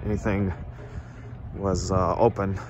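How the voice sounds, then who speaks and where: Slow, low-voiced man on a walking tour. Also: level tone. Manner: unhurried, many filler pauses. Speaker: travel vlogger. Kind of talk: casual walking-tour narration. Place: outdoors on a small-town street. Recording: outdoor street noise.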